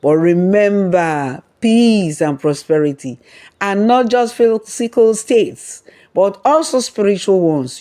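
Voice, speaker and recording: A storyteller voicing a wise, solemn magical three-headed python in a folktale, giving slow, measured counsel. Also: mid-range voice, mellow, natural voice.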